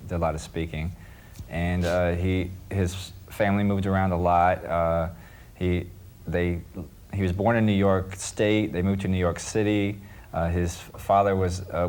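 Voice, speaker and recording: A man on a discussion panel explaining things casually in a halting way, repeating words as he goes. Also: sits low, darkish tone.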